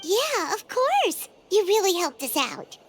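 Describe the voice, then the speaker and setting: A woman voicing a small, chirpy, excitable fairy companion in a game. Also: very high voice, takes it slowly, exaggerated voice. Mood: friendly. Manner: bubbly, excitable, refers to self in third person. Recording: game audio with music behind.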